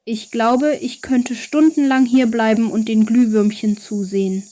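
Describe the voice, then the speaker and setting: A woman's voice: a little high, steady tone, a little slow, dark tone, dry recording, lightly acted. A woman playing an enthusiastic, polite young boy in a children's bedtime audio story. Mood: awed.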